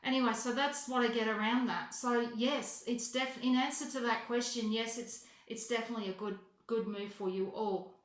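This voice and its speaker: A woman giving an unscripted psychic reading as a voicemail, halting and correcting herself as she goes, often repeating phrases for emphasis. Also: on the high side, fairly even intonation. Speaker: psychic reader. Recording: roomy, echoing voice recording.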